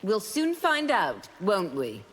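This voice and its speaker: An actress playing a confident, playful young woman fighter in a video game, teasing, with reverb and loud noise. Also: mid-range voice, wildly swooping pitch, slow pace, breathy edge, heavily acted. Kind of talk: video game character dialogue.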